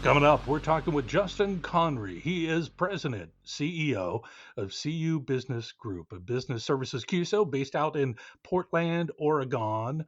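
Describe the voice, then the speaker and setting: Animated man hosting a podcast. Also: on the high side, moderate pace, few pauses, dull audio. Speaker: podcast host. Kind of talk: casual podcast banter.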